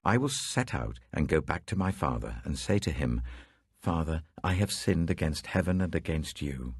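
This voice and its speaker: A British man plays a humbled, desperate younger son in a scripture story. The voice is very low and husky, with earnest, pleading swings in pitch. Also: animated, normal speed, no echo, clean studio sound, exaggerated voice. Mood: humble, penitent.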